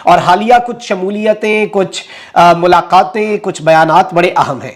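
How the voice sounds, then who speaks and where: A man with a Pakistani accent presenting political news commentary to viewers, mixing English phrases into his talk. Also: a little high.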